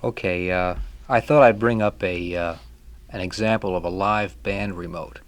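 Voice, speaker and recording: Brief: low-pitched male radio studio regular, casual conversational delivery, putting questions to guests. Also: moderate pace.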